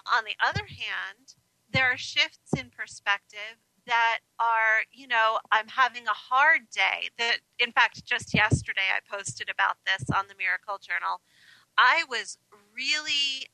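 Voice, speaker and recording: An American woman talks conversationally as a guest on a radio show. Her voice is strikingly bright and somewhat high, with a dry, close sound. She is friendly and reflective as she explains things. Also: unhurried, vocal fry, no room sound, poor audio. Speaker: radio guest, life coach. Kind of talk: conversational interview answers.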